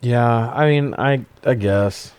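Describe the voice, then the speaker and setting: A man's voice: mid-range voice, slow pace, a little mellow, clear, resonant tone, no echo. A man co-hosting a podcast, chatting casually about films in a review discussion. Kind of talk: casual film review chat.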